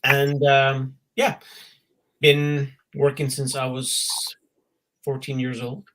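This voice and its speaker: A man's voice: medium pitch, completely flat delivery, slow, background noise. Middle-aged man from Peru, easygoing guest, dry humor. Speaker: interview guest. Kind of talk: casual interview talk.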